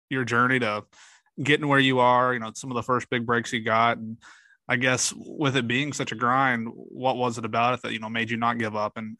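A man's voice: medium pitch, bright, forceful tone, dry recording. A man interviewing a guest, asking chatty, hesitant questions full of fillers.

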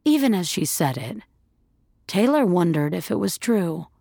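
A woman narrating scripted suspense fiction in a measured, dramatic storytelling manner. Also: fairly low pitch, very animated, vocal fry, dark tone, dry recording, studio quality, full character voice.

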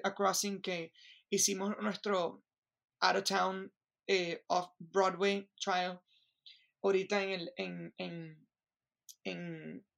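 A woman from Venezuela with a Venezuelan accent, talking as a guest in an interview in an animated way, restarting sentences and checking in with the listener. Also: medium pitch, vocal fry. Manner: animated, restarts, keeps asking "me entiendes". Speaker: Broadway performer, guest. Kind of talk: interview conversation.

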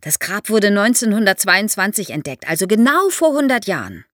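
Lively middle-aged woman, dry close sound. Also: medium pitch, normal speed, no room sound. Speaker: expert guest on archaeology. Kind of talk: explanatory podcast conversation.

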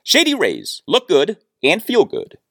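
A man hosting a solo sports podcast, speaking in an emphatic, deliberate way as he delivers commentary and reads. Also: high-pitched, huge pitch swings, vocal fry, a bit bright, no echo, clean studio sound. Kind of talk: solo sports commentary and host-read ads.

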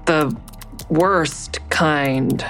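Low-voiced, wary woman investigator. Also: extremely slow, gravelly, fairly bright tone, soft background music, heavily acted. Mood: guarded, dry. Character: wary, dry-witted woman investigator narrating her own story. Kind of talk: first-person audio drama narration and dialogue.

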